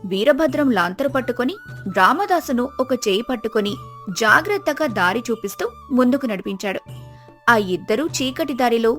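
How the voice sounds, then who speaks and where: Lady narrator, highish voice, reading a moral story over music. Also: animated, brisk, a bit bright.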